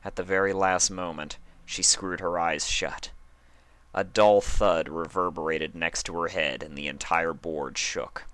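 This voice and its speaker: A man's voice: low-pitched, fairly even intonation, unhurried, a bit bright, no echo. A man reading fan fiction aloud as dramatic story narration.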